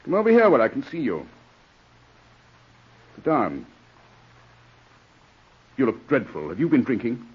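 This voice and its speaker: An actor playing a stern, cold English barrister in a 1940s radio drama, brusque and commanding. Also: male voice, mid-range voice, huge pitch swings, a little slow, pauses often, husky, exaggerated voice. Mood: brisk, stern. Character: stern, cold, imperious Edwardian English barrister, older brother. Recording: dull vintage 1940s radio recording.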